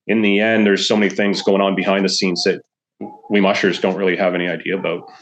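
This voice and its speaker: A man talking as a sled dog racing guest in a casual podcast conversation, measured and rambling with many fillers. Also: low voice, little pitch movement, a little hiss or hum. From Alaska. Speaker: musher representative, podcast guest.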